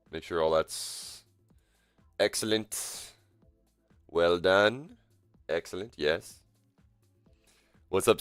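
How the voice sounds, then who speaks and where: A young man hosting a casual live game stream, sounding sick and coughy, with a voice deeper than usual and a laid-back, rambling way of talking. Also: fairly bright tone, clean tone, dry recording. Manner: laid-back, rambling, lots of fillers and self-corrections. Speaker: live-stream gamer host. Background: faint game music.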